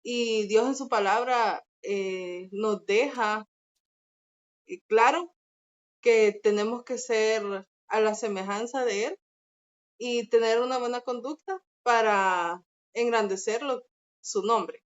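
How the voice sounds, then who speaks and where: A woman's voice: on the high side, takes it slowly, muffled recording. A woman from El Salvador with a Salvadoran Spanish accent, a podcast guest earnestly thinking aloud in a church discussion.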